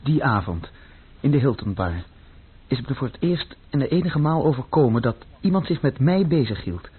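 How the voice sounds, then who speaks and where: Searching, brooding son, very rough breathy voice, plain introspective storytelling, old radio play, narrow phone-like band. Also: male voice, mid-range voice, expressive, moderate pace. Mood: brooding, reflective. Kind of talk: radio play narration and dialogue.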